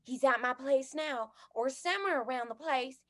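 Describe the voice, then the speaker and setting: A woman's voice: high voice, normal speed, lightly acted. A woman playing a feisty, folksy older cowgirl in an old-time western radio drama. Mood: anxious.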